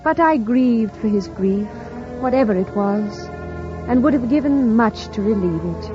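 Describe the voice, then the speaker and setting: An actress playing an earnest, composed young governess in an old radio drama, speaking with a refined British accent and careful, formal phrasing. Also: female voice, a little high, animated, measured pace. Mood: reflective. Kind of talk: 1940s radio drama narration and acting. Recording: dull old radio recording. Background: music.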